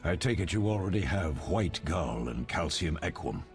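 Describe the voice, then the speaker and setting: A fatherly veteran monster hunter in a fantasy game. His voice is deep and moves through a wide range as he instructs with measured wisdom. Music sits quietly behind him. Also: very animated. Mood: approving, instructive. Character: wise older male mentor of monster hunters, fatherly. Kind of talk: video game voice acting.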